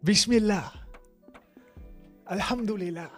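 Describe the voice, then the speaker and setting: Slow-talking South African man streamer. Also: sits high, a bit bright, soft background music. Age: 20s. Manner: joking, rambling, talks straight to chat. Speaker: livestreamer. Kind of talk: casual live stream chat.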